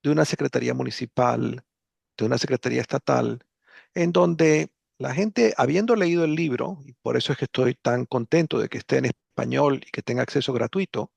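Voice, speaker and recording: Author on a panel, gravelly male voice, measured delivery, dry close mic. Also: medium pitch, darkish tone, dry recording. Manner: measured, interprets for a colleague. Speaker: book author. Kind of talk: panel discussion remarks.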